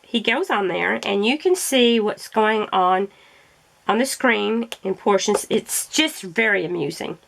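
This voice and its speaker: Gravelly-voiced woman chatting casually. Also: medium pitch, lively intonation, a little slow, a bit bright, rough recording. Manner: rambling, chatty, self-correcting. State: throat irritated by allergies. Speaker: crafting vlogger. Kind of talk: casual chat while crafting.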